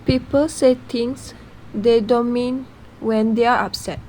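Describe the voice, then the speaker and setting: Supportive girlfriend-confidante, played by a woman, high pitched, advising, radio drama with slight noise. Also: steady tone, slow, darkish tone, poor audio. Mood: soothing. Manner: soothing, advising. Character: concerned, steadying best friend, a supportive young woman. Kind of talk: amateur radio drama acting.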